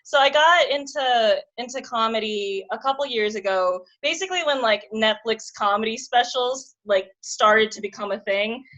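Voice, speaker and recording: A woman's voice: on the high side, normal speed, hardly any pauses, a bit bright, dull audio. A woman in her twenties, a comedian guest, chatting casually and rambling with lots of fillers and giggles. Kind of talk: casual interview chat.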